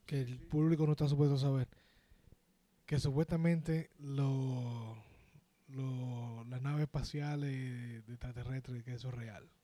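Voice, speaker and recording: Man, Dominican accent, mellow relaxed tone, dry close sound, casual slangy joking podcast talk. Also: mid-range voice, unhurried, no room sound. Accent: Dominican Spanish. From Dominican Republic. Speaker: podcast co-host. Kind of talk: casual chat.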